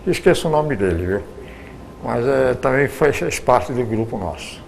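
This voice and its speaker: A man's voice: mid-range voice, expressive, a little slow, creaky, a little mellow, slight background noise, poor audio. An older man, recalling his years in radio drama in an interview, often stumbling and repeating words. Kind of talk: reminiscing interview answers.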